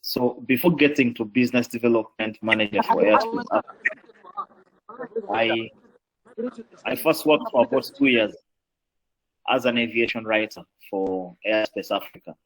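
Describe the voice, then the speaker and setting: A man's voice: mid-range voice, animated, moderate pace, long pauses, rough recording. A man in his late twenties with a Ugandan accent, introducing himself as a panelist. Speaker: panelist, aviation business development manager.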